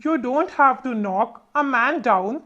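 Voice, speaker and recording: A woman voicing a calm, warm, gently teasing male sun in a children's storybook, unhurried in manner. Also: sits high, lo-fi sound, pitched below the performer's own voice, exaggerated voice.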